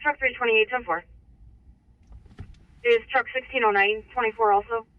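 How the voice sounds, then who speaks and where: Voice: mid-range voice, steady tone, moderate pace. A dispatcher gives terse messages over a two-way radio. The sound is dull and comes from some distance, with noise around it. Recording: muffled distant radio speaker, noisy.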